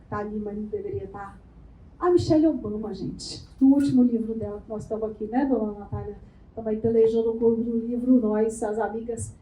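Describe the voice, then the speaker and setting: Animated high-voiced woman giving a workshop. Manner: animated, playful, asks the room rapid questions. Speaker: workshop speaker. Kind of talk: lively workshop talk. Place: event room before a live audience. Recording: echoey room.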